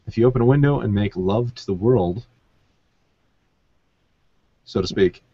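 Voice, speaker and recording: A man hosting a casual podcast discussion, halting as he searches for words. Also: fairly low pitch, mellow, husky, no room sound, highs cut off. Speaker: podcast host.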